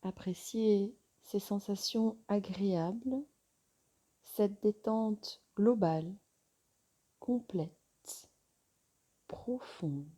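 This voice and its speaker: Woman leading yoga nidra, super slow n soothing, mellow soft voice, lots of long pauses. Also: mid-range voice. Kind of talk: guided relaxation meditation.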